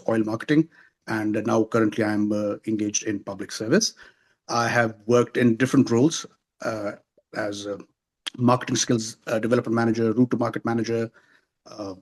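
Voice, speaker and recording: A man in his forties from Pakistan, answering interview questions over a video call in a measured, formal way with many pauses. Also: mid-range voice. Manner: measured, formal, frequent hesitations. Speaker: interview guest. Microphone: remote video call.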